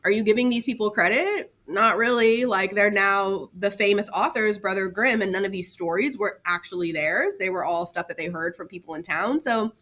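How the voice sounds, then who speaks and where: A woman's voice: mid-range voice, normal speed, few pauses, a bit bright. A woman teacher giving a casual lesson to students, talking informally with slang and chatty asides and repeating key terms.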